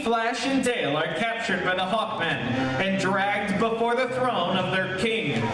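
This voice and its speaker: A man playing a dramatic narrator, announcing in old-time radio serial style. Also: sits high. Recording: echoey stage, distant mic, music behind.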